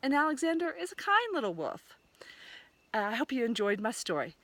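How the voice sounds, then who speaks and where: A woman telling a fairy tale in an animated, conversational storytelling manner. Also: high-pitched, a little fast. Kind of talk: oral fairy tale retelling.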